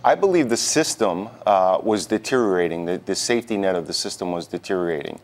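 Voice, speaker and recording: A man answering questions as a guest in a live TV news interview, speaking haltingly with repeated words. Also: low-pitched, animated. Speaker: union president, interview guest.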